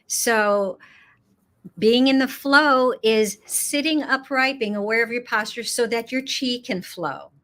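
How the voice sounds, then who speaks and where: A middle-aged woman from Los Angeles, a yoga teacher speaking as an interview guest in an unhurried way, laughing often and pausing with frequent "um"s. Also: fairly high pitch, fairly bright tone. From Los Angeles, United States. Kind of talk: casual interview chat.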